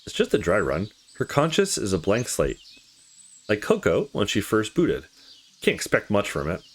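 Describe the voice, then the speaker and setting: Guy doing a visual novel lets play, kinda low voice, super animated reading, chill and casual. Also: moderate pace, husky, soft background music. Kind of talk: reading game dialogue aloud with casual commentary.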